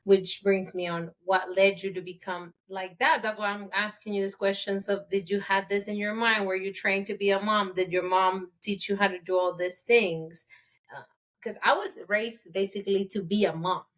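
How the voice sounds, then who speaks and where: A woman's voice: mid-range voice, fairly even intonation, moderate pace, a bit bright. A woman hosting a casual podcast, asking direct questions in a chatty, candid way. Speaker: podcast host.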